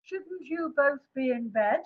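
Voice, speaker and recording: A woman playing a grave, gentle, sorrowful great lion in a screenplay read-through. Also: sits high, expressive, unhurried, narrow, tinny audio. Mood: solemn, gentle.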